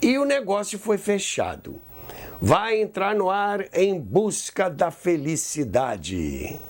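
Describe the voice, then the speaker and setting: A man's voice: sits high, huge pitch swings, slow pace. A Brazilian man hosting a history podcast, telling a scripted story in a theatrical way with conversational asides. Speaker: host and narrator of a radio history podcast.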